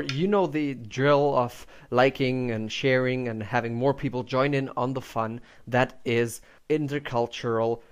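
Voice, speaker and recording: Man, mid-pitched voice, podcast host, informal, upbeat, conversational interviewing.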